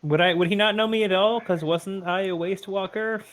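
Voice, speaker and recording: A man's voice: high voice, fairly even intonation, moderate pace, fairly bright tone. A man playing a tabletop game, chatting casually and asking the game master questions. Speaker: player.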